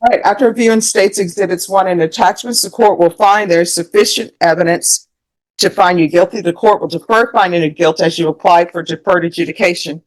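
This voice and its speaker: A woman's voice: lowish voice, fairly quick, low-quality recording. An American woman speaking as a presiding judge, putting formal, methodical procedural questions in court. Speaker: judge presiding over a plea hearing.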